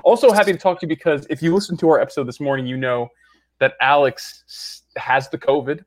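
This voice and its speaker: A man's voice: on the high side, fairly even intonation, fast. A young man co-hosting a podcast in casual chat, rambling with restarts and frequent laughs. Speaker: podcast co-host.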